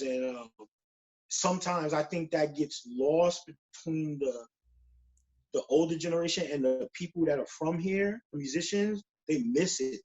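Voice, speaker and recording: A forty-year-old man chatting casually as an interview guest in slangy speech, often checking in with "you know what I'm saying", on a dull lo-fi recording. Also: a little high. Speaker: guest drummer. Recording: dull lo-fi call audio.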